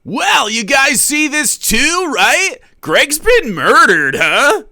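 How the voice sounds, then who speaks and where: An excited streamer talks to his chat in a raised, loud and upbeat voice, as a man plays him. The tone is bright and forward and the sound is dry and close. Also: slow, dry recording, exaggerated voice. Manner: high, loud, upbeat stream-host delivery.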